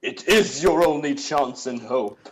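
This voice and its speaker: Man as mournful ghost, slow delivery, solemn and portentous, stern warnings, radio drama. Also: mid-range voice, a little hiss or hum, natural voice, poor audio. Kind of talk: old-time radio play acting.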